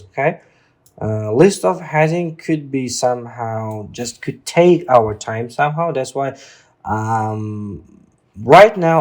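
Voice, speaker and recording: A man tutoring informally through an exam exercise, speaking haltingly and often checking in with a questioning tag. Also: medium pitch, darkish tone. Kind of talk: informal lesson, walking through a reading test.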